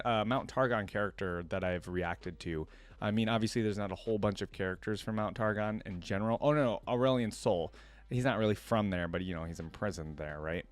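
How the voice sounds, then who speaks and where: A man hosting a reaction video, talking casually and off the cuff to his viewers, rambling as he thinks aloud. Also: on the low side. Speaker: reaction video host, League of Legends fan. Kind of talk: casual unscripted commentary to viewers.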